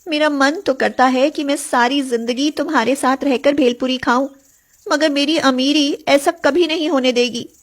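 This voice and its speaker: A woman's voice: high voice, fairly even intonation, normal speed, voice pushed up, natural voice. A woman voicing a lovesick, wistful young woman from a rich family in a story. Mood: longing. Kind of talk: animated story character dialogue.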